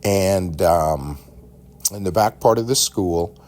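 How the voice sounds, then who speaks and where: A middle-aged American man telling casual, rambling childhood-style reminiscences in a halting, conversational way. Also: low voice, darkish tone. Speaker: podcast storyteller. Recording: faint background noise.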